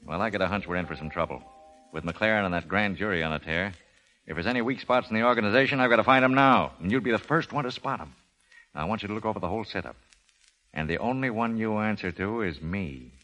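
An actor playing a shrewd, confident racket boss with a familiar, persuasive, slangy manner in a 1930s radio drama. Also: male voice, fairly low pitch, quick pace, pushed and bright, low-quality recording. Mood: persuasive, businesslike. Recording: old 1930s radio broadcast, faint music.

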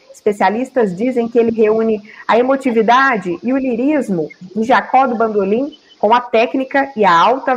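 Brief: woman radio host, clear scripted delivery, slightly high pitch. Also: poor audio. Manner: clear, measured, read from a script. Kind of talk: scripted introduction and interview questions.

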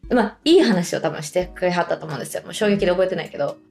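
A woman with a Kansai accent chatting casually as co-host of a radio show, telling stories in an animated way. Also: on the low side, very fast, creaky. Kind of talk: casual chat.

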